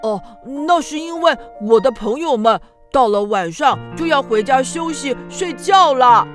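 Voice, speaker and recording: A woman playing a kindly, reassuring sun in a children's radio drama, speaking gently and expressively. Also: high-pitched, lively intonation, measured pace, faint music behind. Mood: caring. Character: kindly, reassuring grown-up sun, caring friend.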